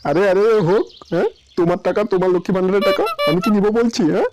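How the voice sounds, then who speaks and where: A man voicing a scheming village husband in a comic cartoon, coaxing and flattering in hurried, repeated bursts. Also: sits high, music in the background. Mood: placating. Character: wheedling scheming village husband who flatters his wife. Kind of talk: comic Bengali cartoon dialogue.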